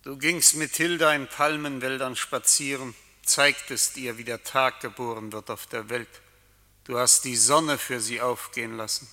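A man's voice: mid-range voice, fairly even intonation, sharp tone. Menacing man, reverberant and piercing. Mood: mocking. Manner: declamatory, taunting, deliberate phrasing. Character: menacing, cruelly mocking male night rider. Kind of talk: literary radio play. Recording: long hall reverb.

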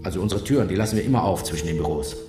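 An actor playing a curt, clipped ex-soldier who now works as a tax collector. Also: male voice, deep voice, expressive, racing, roomy sound, loud music behind the voice. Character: gruff former soldier tax collector, middle-aged.

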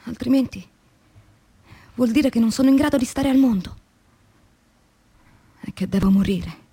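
An actress playing a young, tormented philosophy teacher turned factory worker, in a radio drama monologue with measured, deliberate phrasing and dramatic pauses. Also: female voice, on the high side, dark tone, close to an everyday voice. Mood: anguished, reflective. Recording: clearly noisy recording with ambient sound.

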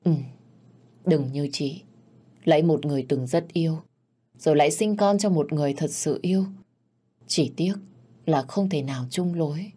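A woman voicing a grieving young single mother who speaks softly and wearily. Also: deep voice, moderate pace, long pauses, breathy edge. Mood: sorrowful.

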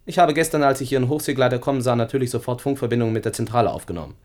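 An actor playing a cynical, deliberate informer in a German radio play. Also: male voice, medium pitch, darkish tone, lightly acted. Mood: cool, menacing. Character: cynical informer, calmly blocking the fugitives' escape.

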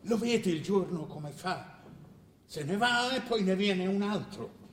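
A man's voice: high voice, lively intonation, unhurried. An actor playing an imperious, confused old king, declaiming and firing insistent questions in a theatrical drama style. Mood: indignant, preachy. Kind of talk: theatrical stage-style radio drama acting. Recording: distant, echoey hall with hiss.

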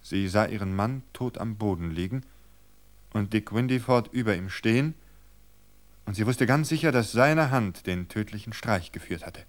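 A man reading a mystery story aloud for radio in a calm, measured way. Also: fairly low pitch. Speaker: reader.

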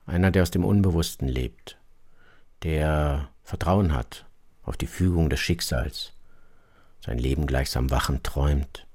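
A man presenting a scripted radio introduction in a measured, explanatory way. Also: rumbling low, darkish tone, breathy edge.

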